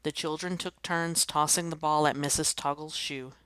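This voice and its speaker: A woman's voice: sits low, steady tone, moderate pace, a bit bright, smooth, no echo. Woman reading a children's picture book aloud. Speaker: storybook reader.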